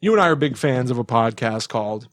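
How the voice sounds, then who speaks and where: A man co-hosting a casual comedic podcast, telling stories in an animated way and repeating phrases for comic emphasis. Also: mid-range voice, fast, no echo, studio quality. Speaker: podcast co-host.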